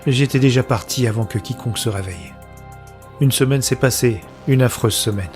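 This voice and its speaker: Music sits under the voice of an actor as a brooding man with a medium-pitched voice. He tells his own story in an audio drama, in measured, literary sentences. His tone is introspective and anguished. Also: darkish tone, lightly acted. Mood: anguished, intense, then gloomy. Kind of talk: scripted first-person narration of sci-fi audio drama.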